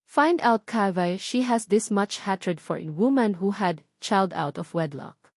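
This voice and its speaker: A woman's voice: medium pitch, expressive, slow, no room sound, clean studio sound. A woman voicing a wounded, angry wealthy son in an audio drama, confrontational and demanding. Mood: grim, commanding.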